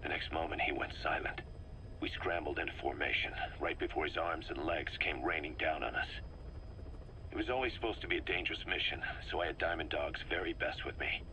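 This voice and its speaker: An actor playing a grim, embittered mercenary commander, recounting things with intense deliberation in a dramatic video game style. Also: male voice, extremely low pitch, fairly quick, sharp tone, exaggerated voice, poor audio. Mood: grim, haunted. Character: embittered, driven male mercenary commander briefing his boss. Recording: faint noise, cassette tape recording.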